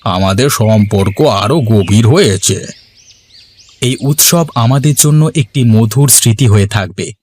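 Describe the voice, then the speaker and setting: A man playing an earnest, grateful villager in a cartoon, talking in formal, measured speeches. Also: lowish voice, darkish tone, slight background noise, lightly acted. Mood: appreciative. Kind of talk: scripted cartoon dialogue.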